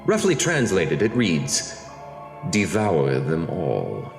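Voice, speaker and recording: A man's voice: low-pitched, huge pitch swings, creaky, heavily acted. A man playing a sinister, grandiose horror show announcer in melodramatic mock-horror radio style. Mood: menacing. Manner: melodramatic, drawn-out. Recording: echoing reverb, music underneath.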